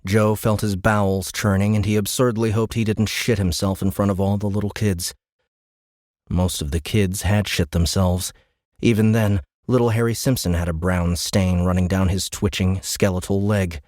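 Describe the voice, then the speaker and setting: A man with a low voice narrates a dramatic science fiction audiobook. His delivery is measured and suspenseful, like scripted storytelling. The recording is close and very dry. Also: darkish tone, dry recording. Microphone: close studio microphone.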